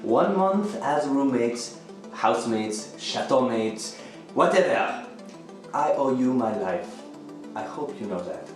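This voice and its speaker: Man acting a slow, melodramatic housemate in echo. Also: mid-range voice, expressive. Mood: warm, celebratory. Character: melancholy, affectionate young male housemate, gently teasing. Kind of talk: radio thriller acting. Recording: roomy echo, music underneath.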